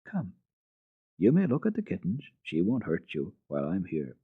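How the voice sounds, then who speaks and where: A man's voice: deep voice, huge pitch swings, moderate pace, husky, full character voice. A man playing a blunt but good-natured church tower keeper in a dramatic storybook reading. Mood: kindly. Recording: dull phone-like old radio recording, roomy echo.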